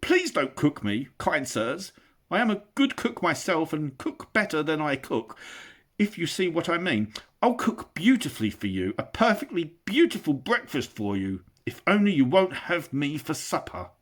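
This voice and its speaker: A man voicing a small, timid male hobbit who squeaks, trembles and babbles in fear. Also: sing-song, normal speed. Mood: pleading. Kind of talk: audiobook character voice.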